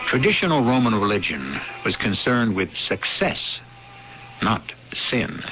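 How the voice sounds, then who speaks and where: A man hosting a radio drama, narrating a script with solemn, theatrical, deliberate phrasing. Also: on the low side, big pitch swings. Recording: dull radio broadcast with loud music. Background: loud music.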